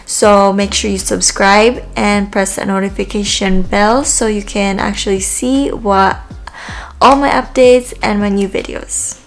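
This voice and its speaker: A woman's voice: mid-range voice, fairly even intonation, measured pace, faint music behind. A woman with a Filipino accent talks through a makeup tutorial in a casual, hesitant way, with frequent ums. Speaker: makeup vlogger.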